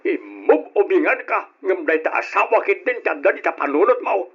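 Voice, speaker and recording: A commanding father barks orders and scolds his daughter, as a man acts him out. His voice is husky and sits high for a man. It comes through a dull, lo-fi old radio recording. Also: sing-song, moderate pace, heavily acted. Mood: scolding. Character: stern older father forbidding his daughter's marriage. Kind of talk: old radio drama acting.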